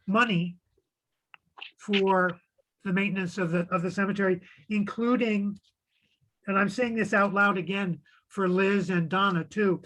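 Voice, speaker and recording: A man's voice: high voice, pushed and bright, poor audio. A man serving as commission clerk in a town meeting, talking hesitantly with many restarts. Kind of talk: meeting discussion.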